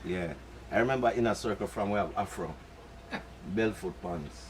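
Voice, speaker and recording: A man's voice: lowish voice, moderate pace, noisy background. An older man with a Jamaican accent, telling rambling stories in patois at a press conference. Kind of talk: relaxed storytelling.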